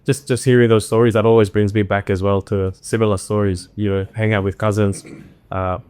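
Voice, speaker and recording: Smooth-voiced friendly podcast host man. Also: on the low side, level tone, a little fast, a little mellow, dry recording. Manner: friendly, affirming, casual slang. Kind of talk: casual interview chat.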